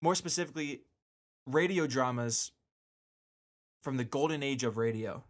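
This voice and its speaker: A man's voice: medium pitch, normal speed. A man hosting a casual solo radio show, talking informally with slangy asides and repeating words for emphasis. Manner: emphatic repetition for stress.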